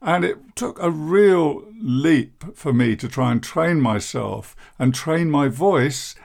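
Older male podcast host, deep gravelly croak, measured and downbeat, self-deprecating. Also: animated, darkish tone. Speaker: podcast host, therapist and coach. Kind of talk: reflective podcast monologue.

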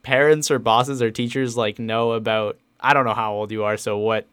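A man's voice: mid-range voice, fairly even intonation, moderate pace, fairly bright tone, clear, resonant tone. A young man of nineteen hosting a podcast in casual chat, giggly and rambling with many fillers. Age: late teens. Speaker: podcast host.

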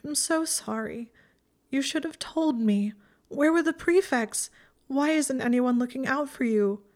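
A woman's voice: medium pitch, normal speed, darkish tone, lightly acted. A woman voicing an anguished, guilt-ridden and fierce young female student in an audiobook, urgent and insistent. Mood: distraught, urgent.

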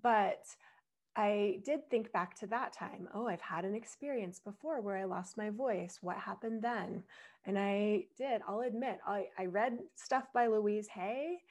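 A young adult woman speaks into a microphone for her own podcast. Her voice is slightly husky from recent strain. She explains things thoughtfully, sometimes laughing softly at herself. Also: mid-range voice, measured pace. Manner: reflective and explanatory, with small laughs. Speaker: podcast host. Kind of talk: solo podcast talk.

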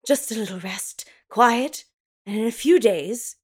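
Casting: woman as self-important female doctor for radio melodrama, flustered, theatrical, unhurried, with big pitch swings. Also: expressive, slightly rough. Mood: soothing, pompous. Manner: melodramatic, pompous. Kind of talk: campy 1930s radio drama table read.

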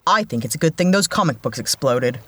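A woman playing a no-nonsense space investigator, brisk, dry and impatient, in a sci-fi audio drama. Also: very deep voice, expressive, a bit bright, slightly rough, dry recording, heavily acted. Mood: dry, wry. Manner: brisk, sardonic, commanding.

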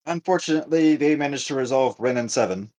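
A man co-hosting a podcast, telling a story casually with halting, repetitive, circling phrasing. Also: mid-range voice, darkish tone. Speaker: podcast co-host.

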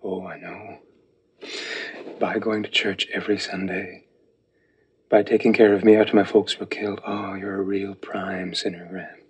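Man acting a low-voiced, tender young grandson. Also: level tone, normal speed, long pauses, slight background noise, natural voice. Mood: gently reassuring. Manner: tender, soft, teasingly reassuring. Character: young man, devoted grandson, gently reassuring. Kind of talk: television soap drama acting. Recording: soft music behind the dialogue.

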